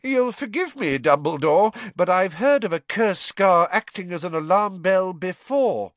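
A man's voice: sits high, expressive, unhurried, no room sound, voice pushed up. A man voicing a blustering, pompous, stubborn middle-aged minister, talking in an indignant rush. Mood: angry.

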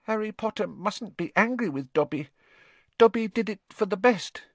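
A man's voice: lively intonation, moderate pace, fairly bright tone, dry recording, exaggerated voice. A man voicing a small anxious male house-elf who pleads and speaks of himself in the third person. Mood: pleading. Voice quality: very high, squeaky.

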